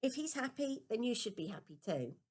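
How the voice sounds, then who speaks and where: A woman's voice: a little high, sing-song, normal speed, darkish tone, voice pushed up. A woman voicing a caring young woman who coaxes and consoles an upset child in a storybook reading. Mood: coaxing, reassuring. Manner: coaxing, reassuring.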